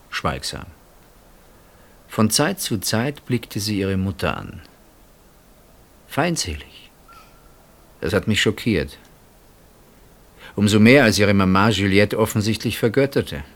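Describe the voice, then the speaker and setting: Deep-voiced, brooding middle-aged husband. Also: male voice. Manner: hesitant pauses, deliberate reflective phrasing. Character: middle-aged husband Pierre, brooding, casual, wryly reflective. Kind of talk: radio play acting, intimate dialogue.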